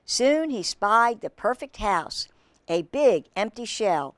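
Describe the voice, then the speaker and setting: A woman's voice: medium pitch, very animated, slow, dry recording. A woman reading a picture book aloud to children, expressively, stopping to ask them questions and exclaim over the pictures. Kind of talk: expressive read-aloud with asides to young listeners.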